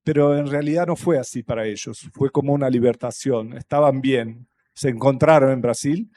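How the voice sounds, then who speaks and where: Man, Brazilian accent, native Portuguese speaker, mellow tone, halting and reflective, panel guest. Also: mid-range voice. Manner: thoughtful, halting, with many fillers and restarts. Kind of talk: festival panel talk. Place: stage at a public festival panel.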